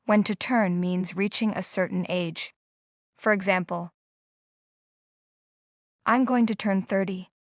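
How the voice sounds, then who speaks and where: A woman giving a calm, scripted lesson in plain English, pausing to quiz the listener. Her pitch sits mid-range and the sound is close and dry, with no room around it. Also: dry recording. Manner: slow, prompting questions to the viewer. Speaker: language teacher.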